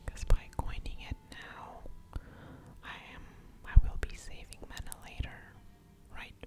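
Whispering woman, slow and breathy. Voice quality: breathy whisper with soft mouth sounds. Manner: hushed, musing aloud over each move. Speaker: game streamer, ASMR creator. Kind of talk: whispered ASMR game commentary.

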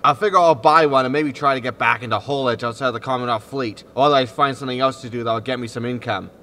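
Man playing a bright-voiced, exasperated space captain. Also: medium pitch, fast. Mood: grumbling, resigned. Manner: indignant, grumbling. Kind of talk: sci-fi sitcom audio drama acting.

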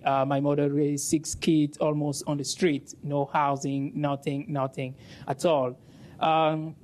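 A man's voice: on the high side, a little mellow. A young man from Cameroon whose first language is French, earnestly telling his story as a panelist on a conference stage, speaking on a lapel mic. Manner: earnest storytelling, laughs easily. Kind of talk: panel discussion answer.

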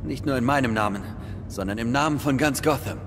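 An actor playing a nervous, grateful office boss held hostage, anxious and eager. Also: male voice, medium pitch, a little fast, background noise, lightly acted. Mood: relieved. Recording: reverberant, music behind.

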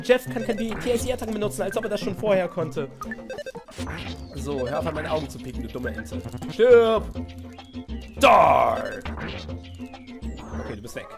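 A man with a cold doing goofy, excitable let's-play commentary and reading game dialogue aloud. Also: a little high. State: has a cold. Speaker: let's player. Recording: music playing behind voice.

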